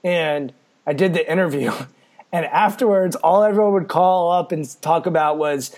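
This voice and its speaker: A man with a raspy voice hosting a podcast, asking questions in a friendly, self-deprecating way. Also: on the high side, normal speed, darkish tone. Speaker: podcast host. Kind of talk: casual interview talk.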